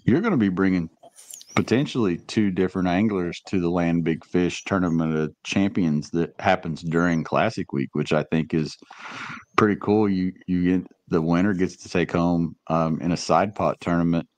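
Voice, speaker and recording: A man with a very low voice hosts a podcast and asks friendly questions. He explains the details at length. The sound is dry and close, with no room echo. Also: normal speed, darkish tone. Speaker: podcast host. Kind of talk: casual podcast interview.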